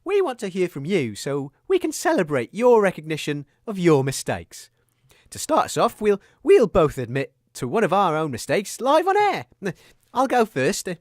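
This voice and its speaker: A man's voice: sits high, wildly swooping pitch, moderate pace, no room sound, studio quality, exaggerated voice. An actor playing a naive, anxious and apologetic male radio co-host in a scripted comedy, earnest and easily flustered.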